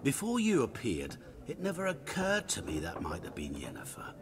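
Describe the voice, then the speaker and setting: A man's voice: medium pitch, wildly swooping pitch, moderate pace, background noise. An actor playing a chatty, ingratiating travelling merchant in a video game, florid and insinuating in his delivery. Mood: awed, sly. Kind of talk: theatrical video game dialogue. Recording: busy tavern chatter behind the voice.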